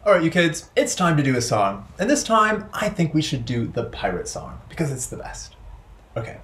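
A young man hosts a storytime for children. His pitch moves around a lot as he narrates. He is playful and phrases things deliberately. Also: very animated, fairly bright tone. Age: young adult. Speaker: library storytime host.

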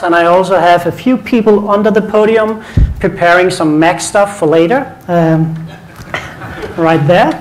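A man from Denmark with a Danish accent, giving a relaxed technical conference talk with restarts and light jokes. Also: high voice. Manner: casual, repeats words, light joking. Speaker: conference presenter.

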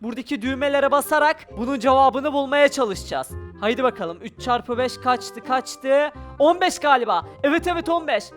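A gamer giving excited, exclamatory gameplay commentary with role-play, narrating every action aloud in speech. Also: sits high, normal speed, few pauses. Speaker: gaming video creator. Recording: music behind the voice. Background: background music.

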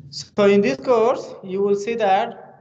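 A man's voice: sits high, takes it slowly, a little mellow, muffled recording, a little hiss or hum. A male university lecturer from Bangladesh, speaking English with a Bangladeshi accent as his second language. He gives an online class lecture and rambles and repeats himself in a friendly storytelling way. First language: Bengali. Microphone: computer mic on a video call.

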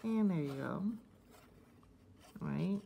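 Halting, even-toned woman reviewer, low-pitched. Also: creaky. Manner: halting, conversational, thinking aloud. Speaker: host of a cassette collecting video channel. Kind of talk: casual unscripted hands-on review commentary. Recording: faint background noise, casual tablet recording.

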